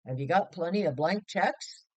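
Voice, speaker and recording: A woman's voice: lowish voice, animated, normal speed, a little mellow, muffled recording. Woman as a hearty, emphatic banker husband. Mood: sociable. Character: sociable middle-aged banker husband, chatty and solicitous. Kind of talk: storybook character voice.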